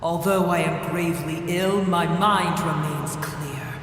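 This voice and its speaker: An actor voicing an ancient, hateful dragon as a slow, haughty game monster, with a huge reverberant effect on the voice. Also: mid-range voice, fairly even intonation. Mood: grim, proud. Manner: haughty, slow, grandiose pronouncements. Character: ancient, gravely ill, hateful desert dragon, proud and menacing. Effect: huge reverberant monster echo. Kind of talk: video game voice acting. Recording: cavernous reverb, loud game music.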